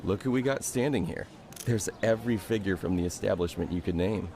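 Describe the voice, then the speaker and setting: An actor playing a wry, easygoing onlooker in a game cutscene. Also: male voice, deep voice, brisk, a little mellow.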